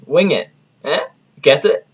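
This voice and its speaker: A man's voice: a little high, slow pace, breathy edge, lo-fi sound, pitched above the performer's own voice, heavily acted. A man voicing a brash, playful female pegasus pony in an audio story, teasing and smug. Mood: cheerful. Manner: teasing, exuberant.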